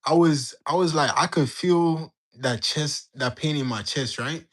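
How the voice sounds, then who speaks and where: A young man in his late teens from Jamaica, telling a story in a slangy, animated way while bantering with his livestream chat. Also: on the high side, a little slow. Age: young adult. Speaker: livestream host. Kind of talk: casual storytelling to live chat.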